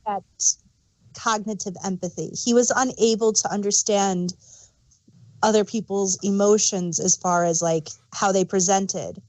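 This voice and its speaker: A woman's voice: mid-range voice, steady tone, unhurried, darkish tone, no echo, rough recording. A woman co-hosting a podcast, casually sharing her opinions on a TV show. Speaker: podcast co-host.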